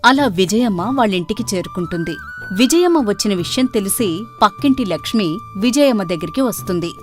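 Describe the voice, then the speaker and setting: A woman's voice: medium pitch, lively intonation, fairly quick, soft background music. A woman reading scripted storybook narration as the narrator of a moral tale.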